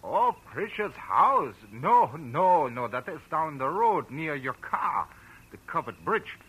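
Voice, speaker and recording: Animated, excitable country man. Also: fairly high pitch, takes it slowly, slightly rough, highs cut off, exaggerated voice. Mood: animated, explanatory. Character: excitable, helpful rural male householder with a rifle. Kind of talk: 1940s radio drama acting. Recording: noisy old-time radio recording.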